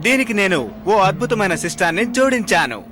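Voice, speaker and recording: A man's voice: sits high, animated, fast talker, music in the background. A man playing an insistent young inventor friend who gives orders in a dubbed cartoon. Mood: excited pitching. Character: bossy young inventor friend, eager racing-team helper.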